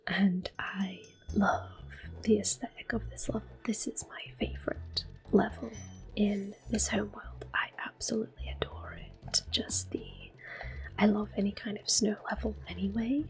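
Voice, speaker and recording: A woman whispering gently and unhurriedly in a soft, breathy voice, commentating a game in ASMR style up close to the microphone. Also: mid-range voice, very animated, poor audio. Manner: gentle, unhurried, softly spoken. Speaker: ASMR gaming host.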